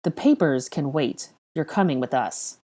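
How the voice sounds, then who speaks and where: A woman's voice: low-pitched, lively intonation, unhurried, warm tone. A woman voicing a young male wizard who speaks in a level, firm way. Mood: stern.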